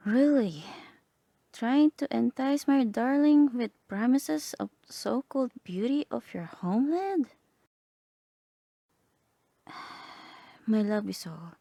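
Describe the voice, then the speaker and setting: A woman voicing a gentle, earnest guardian angel in a soft half-whispered ASMR roleplay, slow, solemn and tender. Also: a little high, creaky, no echo, exaggerated voice.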